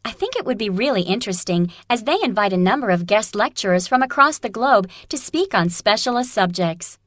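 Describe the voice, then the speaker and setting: Actress as sensible young student: mid-range voice, measured careful diction, echo-free sound. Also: female voice, mid-range voice, lively intonation, fairly bright tone. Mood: matter-of-fact. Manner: measured, clearly enunciated. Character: practical female student weighing art courses. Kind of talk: scripted dialogue for an English listening test.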